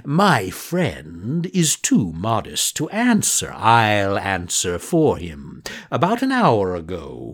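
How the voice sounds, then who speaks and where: Man doing crafty fox, slow drawl, big pitch swings, breathy. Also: exaggerated voice. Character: sly smooth-talking fox, male, scheming.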